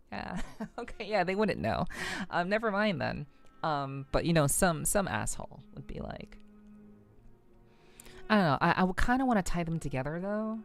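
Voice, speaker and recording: A woman chatting casually on a livestream as a VTuber, rambling and halting, often repeating her phrases. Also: low voice, vocal fry. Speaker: VTuber streamer. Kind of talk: casual livestream chat with viewers. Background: faint music.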